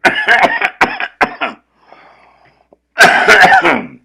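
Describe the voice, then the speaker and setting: A man's voice: high voice, huge pitch swings, very slow, vocal fry, sharp tone, breathy edge. A man with a powerful voice, ranting casually and profanely with lots of restarts during a comedic livestream. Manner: profane, rambling, restarts often.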